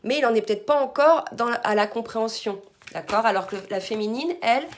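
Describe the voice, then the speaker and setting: A hoarse woman giving a spiritual card reading to her viewers, soft-spoken, sometimes murmuring requests to her guides. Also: fairly high pitch, fairly quick. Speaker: tarot card reader.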